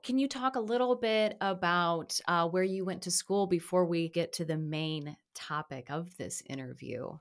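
A woman's voice: lowish voice, moderate pace, hardly any pauses. A woman hosting a radio arts interview show, talking in a friendly, enthusiastic way. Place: radio studio.

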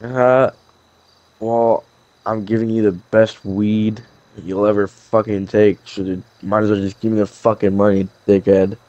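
A man's voice: fairly low pitch, little pitch movement, a little slow, mellow, dry recording, dull audio, natural voice. A man playing a jittery, foul-mouthed drug dealer in hiding in an improvised game roleplay, with a hesitant, rambling delivery. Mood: irritated. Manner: hesitant, rambling, profane slacker talk.

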